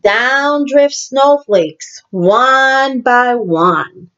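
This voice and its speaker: A woman teacher reading a picture book aloud to young children in a deliberate, animated storytelling manner, with asides to her listeners. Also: high-pitched, muffled recording.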